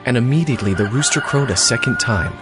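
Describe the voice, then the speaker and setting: A man's voice: medium pitch, lively intonation, quick pace, music in the background. A man reading scripture as a scripted narrator.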